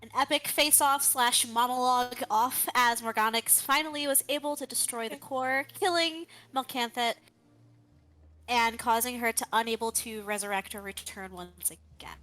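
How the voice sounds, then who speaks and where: Bright-voiced woman recapping a campaign. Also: a little high, moderate pace. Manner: animated storytelling. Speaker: player giving the session recap. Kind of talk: recapping the story.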